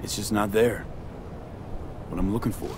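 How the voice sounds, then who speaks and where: An actor playing a weary, determined mercenary in a cinematic video game, speaking in a terse, wry, colloquial way. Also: male voice, lowish voice, steady tone, normal speed, close to an everyday voice. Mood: wistful. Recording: game ambience with vehicle noise.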